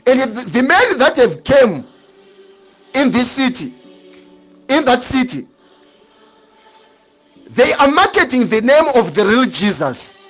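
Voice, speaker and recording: A man's voice: very high voice, very animated, slow, pauses often, a bit bright, soft background music. A man preaching a sermon, emphatic and repetitive, with dramatic swings in delivery.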